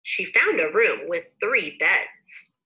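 A middle-aged woman reads a children's story aloud. Her voice is very bright and lively, swinging up and down with clear emphasis for young listeners. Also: lowish voice, slow pace, muffled recording. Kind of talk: expressive children's read-aloud. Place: living room at home.

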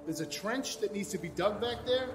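An actor plays a domineering male boss in an audio drama. His voice is high and very animated, sarcastic and browbeating. Music plays behind him. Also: moderate pace. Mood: mocking.

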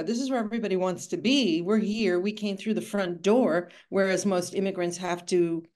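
A woman's voice: medium pitch, a little mellow. A woman in her sixties, born in Cuba, telling her story at length as an author in a video call interview, thoughtful and full of asides. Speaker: author and art conservator. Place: home room with bookshelves.

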